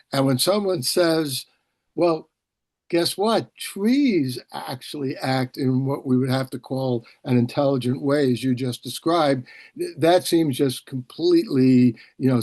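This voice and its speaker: A man hosting a casual video-call discussion, explaining things in a halting, discursive way and often repeating words. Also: medium pitch, darkish tone, no echo. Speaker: host, publisher and professor.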